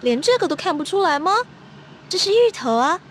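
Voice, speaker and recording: A woman playing a chatty, bossy young country girl in an audio drama, brisk and blunt. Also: very high-pitched, very animated. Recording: faint background noise.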